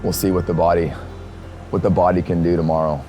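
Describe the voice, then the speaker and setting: A hoarse man vlogging casually outdoors at a race venue, rambling and upbeat with lots of fillers. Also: sits low, flat delivery, brisk. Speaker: triathlete filming his race. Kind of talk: casual vlog to camera. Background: music.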